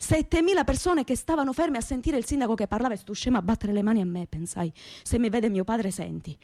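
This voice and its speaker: Pina, high-voiced Calabrian young woman. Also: fast talker, darkish tone, no room sound, clean studio sound. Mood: animated recollection. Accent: southern Italian, Calabrian. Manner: colloquial, emphatic, repetitive storytelling. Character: Pina, fiery young working-class woman from Reggio Calabria. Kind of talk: theatrical monologue storytelling for radio drama.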